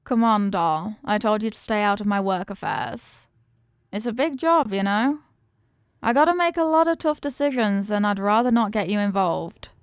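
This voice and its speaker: Woman acting as a smooth, doting female mafia boss. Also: medium pitch, normal speed, dry recording, clean studio sound, natural voice. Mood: teasing, firm. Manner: teasing, streetwise slangy talk with pet names. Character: confident, doting female mafia boss, tough but affectionate. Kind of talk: intimate ASMR-style roleplay acting.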